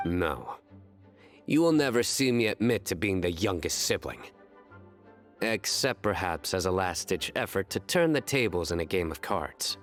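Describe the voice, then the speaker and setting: An actor playing a stoic, serious young guardian in a video game, terse and deadpan with a stubborn streak. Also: male voice, sits low, moderate pace, faint music behind.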